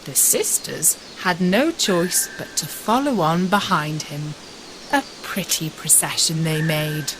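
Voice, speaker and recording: A woman narrating a fairy tale for English learners in a measured, expressive read-aloud way. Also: deep voice. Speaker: storyteller. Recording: steady loud pink noise background.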